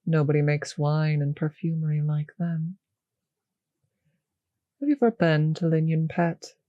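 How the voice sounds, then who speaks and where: A woman playing a refined, possessive vampire mistress in an intimate roleplay audio drama, teasing and condescending, with a breathy, husky voice that drifts into whispers. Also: low-pitched, fairly even intonation, unhurried, dry recording, highs cut off. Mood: breezy, charming.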